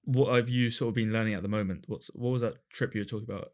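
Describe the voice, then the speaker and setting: A man's voice: on the low side, steady tone, racing. A man hosting a podcast, asking loose, casual questions with fillers. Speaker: podcast host. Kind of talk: casual interview chat.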